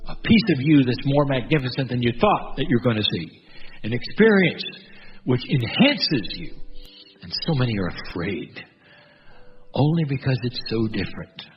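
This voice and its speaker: A man guides a spiritual meditation in a gentle, unhurried voice, coaxing listeners along with soft repeated questions. His pitch sits in an ordinary middle range. The recording is dull and lo-fi, with music clearly playing beneath him. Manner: gentle, unhurried, speaks to listeners as "dear ones"; repetitive, invites listener with questions. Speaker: spiritual channeler leading a meditation. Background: soft music underneath.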